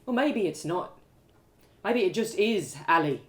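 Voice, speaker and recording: A woman puts on an earnest young storybook man weighed down by guilt. He pleads in halting phrases, repeating himself, with a lively rise and fall. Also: medium pitch, natural voice. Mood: pleading, anguished.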